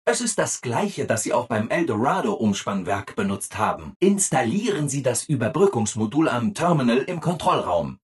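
A relentlessly upbeat video game robot assistant, eager to please at every turn. The delivery is chirpy and over-eager, with sing-song emphasis and big lively swings in pitch. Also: male voice, moderate pace, hardly any pauses, breathy edge. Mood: upbeat, helpful. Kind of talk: video game character dialogue.

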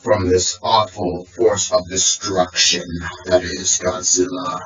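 Man as a flat, deep guardian monster. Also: takes it slowly, a little hiss or hum. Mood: solemn. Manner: flat, solemn. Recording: roomy echo.

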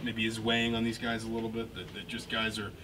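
A man's voice: lowish voice, monotone, quick pace, recorded from a distance, background noise. A male sports reporter asking postgame questions, rambling and rephrasing as he goes.

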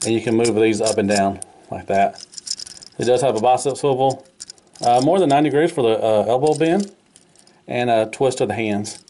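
A man's voice: medium pitch, moderate pace, warm tone, slight background noise. A man giving a casual, hands-on toy review in an informal, folksy way, repeating his phrases.

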